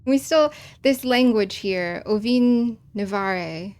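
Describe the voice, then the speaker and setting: A woman's voice: mid-range voice, slow, a little mellow, clear, resonant tone. Chicago-accented woman streamer, long vowels. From American Midwest. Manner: over-enunciates words, long vowels. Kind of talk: casual live-stream chat while playing a game.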